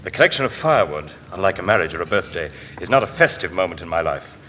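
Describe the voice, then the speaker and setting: A man's voice: low voice, sing-song, fast talker, fairly bright tone. A man acting a defensive, sarcastic bachelor being questioned, in a theatrical old-time radio style. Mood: defiant. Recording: old radio, hissy echoing hall.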